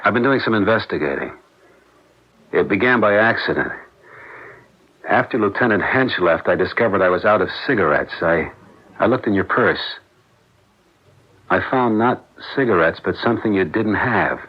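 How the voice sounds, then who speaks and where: An actor playing a suspicious husband who questions his wife in a measured, accusatory manner, in the style of 1970s radio drama. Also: lowish voice, lively intonation, husky. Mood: measured, probing. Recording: dull lo-fi 1970s radio broadcast.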